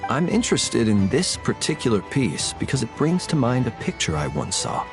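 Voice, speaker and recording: Casting: polite, composed military gentleman, formal and reflective, varied melody, music behind. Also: medium pitch, wildly swooping pitch. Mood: courteous, reflective. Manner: formal, measured wording. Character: courteous, composed gentleman soldier, thoughtful storyteller. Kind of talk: anime-style game voice acting.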